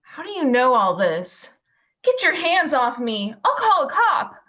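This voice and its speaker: A woman's voice: high-pitched, big pitch swings, a little slow, pushed and bright, full character voice. A woman playing an anxious, tender young wife in an amateur radio play, recorded over a video call. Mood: frightened. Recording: muffled video-call audio.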